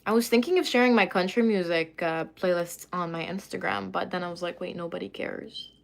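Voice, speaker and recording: Woman streamer, relaxed and rambling, chats with viewers while doing her makeup at home. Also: lowish voice, brisk, gravelly. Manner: chatty, rambling, many fillers and asides. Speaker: livestreamer answering chat. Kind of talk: casual livestream chat while doing makeup.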